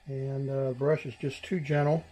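A middle-aged man gives a casual hands-on tutorial as he works, thinking aloud in an unhurried way. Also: medium pitch, little pitch movement, mellow, slight background noise, poor audio. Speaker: electronics repair hobbyist and host. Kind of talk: casual hands-on tutorial narration while working. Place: small indoor workbench.

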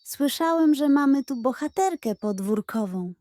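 A woman's voice: high voice, lively intonation, moderate pace, warm tone, dry recording, studio quality, pitched above the performer's own voice. Woman playing a lazy, sly, greedy pug. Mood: sly, sweet. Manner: mumbling, drawling, falsely sweet when scheming.

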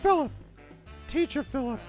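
A man's voice: very high-pitched, expressive, normal speed, faint music behind. A man playing a flustered, exasperated teacher who stammers and interrupts himself, in an improvised comic cartoon style. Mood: exasperated, impatient. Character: flustered, exasperated male teacher hunting for his costume. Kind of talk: improvised comic character acting.

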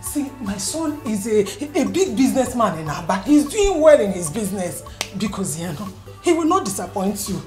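A woman's voice: medium pitch, sing-song, normal speed, a little mellow, close to an everyday voice. Woman, Nigerian accent, acting a worried mother, pleading, roomy sound, music behind. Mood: insistent. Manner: pleading, insistent. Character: worried mother begging a doctor.

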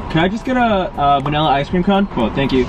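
A young American man with a lively, slightly high voice chats informally. His pitch jumps around with excitable energy. Car and outdoor noise runs clearly behind him. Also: quick pace. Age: young adult. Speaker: prank vlogger. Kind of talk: casual chat with drive-thru staff and camera. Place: inside a car at drive-thru windows. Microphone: camera inside the car. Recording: noisy car interior with outdoor traffic ambience.

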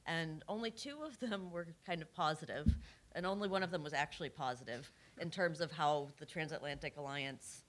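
A woman speaking as a panelist at a policy discussion in a conference room, measured and reflective, with frequent fillers. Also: sits low, a bit bright. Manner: measured, many fillers and hedges. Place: large conference room with audience.

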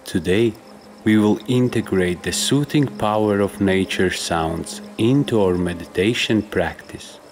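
A man with a deep voice guides a meditation from a script. He speaks very slowly and softly, in measured instructions with room between phrases. A faint trickle of stream water runs behind him. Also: a little hiss or hum. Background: trickling stream and nature ambience.

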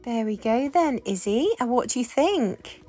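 A woman's voice: fairly high pitch, lively intonation, unhurried, darkish tone. A woman playing a friendly, gushing doll hairdresser in an improvised doll story, chatting and reassuring her clients. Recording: music behind the voice.

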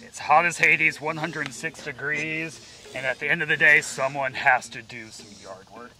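A man's voice: a little high, steady tone, moderate pace, pushed and bright, low-quality recording. A man acting as referee of a fishing contest outdoors by a pond, talking in a mock-strict, theatrical way. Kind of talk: playful outdoor commentary.

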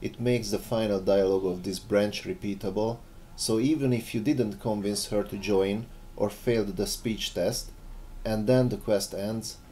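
A man's voice: lowish voice, steady tone, moderate pace, dark tone, a little hiss or hum. A man giving scripted video narration, presenting a game mod as its maker.

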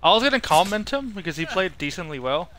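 A man's voice: sits high, big pitch swings, fast talker, noisy background. A man doing casual live gameplay commentary as a streamer, rambling and thinking aloud. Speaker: video game streamer.

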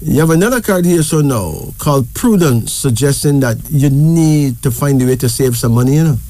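Barbadian man, card reader, mellow and relaxed tone, chatty, reassuring. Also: mid-range voice, moderate pace. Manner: chatty, reassuring, tag questions. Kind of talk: casual card reading chat.

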